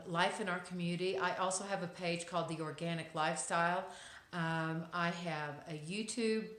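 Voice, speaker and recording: A woman's voice: lowish voice, a bit bright. A woman in her fifties with a Texas accent, giving a casual, reflective inspirational talk to camera with wry asides and frequent ums. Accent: Texan. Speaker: vlogger. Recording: echoey room.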